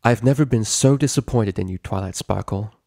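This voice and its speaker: A man's voice: on the low side, a little fast, gravelly, warm tone, dry recording, studio quality. A man voicing a stern, regal female pony ruler and mentor in a story reading. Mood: angry, stern. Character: stern regal mare princess, mentor ruler, angry then motherly.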